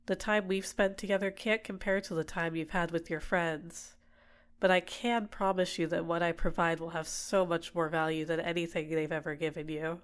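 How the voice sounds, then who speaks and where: A woman playing a tenderly possessive, manipulative villain captor in an intimate ASMR roleplay, speaking in a soft, soothing, deliberate way. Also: on the low side, steady tone, close to an everyday voice.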